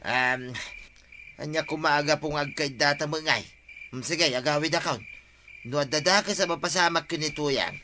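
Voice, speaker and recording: A man's voice: a little high, moderate pace, pushed and bright, a little hiss or hum. A man with an Ilocano accent playing an earnest, anxious husband in a radio drama. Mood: worried.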